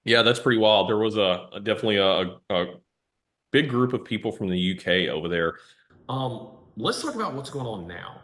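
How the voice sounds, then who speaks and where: A man's voice: lowish voice, lively intonation, brisk, fairly bright tone. A man hosting a podcast and interviewing a guest in a casual, conversational way. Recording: echoey room, clear background noise.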